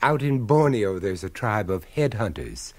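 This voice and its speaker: Shrewd investigator, lowish voice, lively intonation, wry, brisk, urbane, radio drama. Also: male voice. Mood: wry, urgent. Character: shrewd, wry male investigator, suspicious and decisive.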